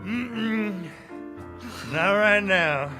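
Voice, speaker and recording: An actor playing a bitter, heartbroken American cafe owner in 1940s radio drama style, sardonic, slangy and clipped. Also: male voice, high voice, expressive, drawn-out words, exaggerated voice. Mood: brooding. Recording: live stage radio play with music.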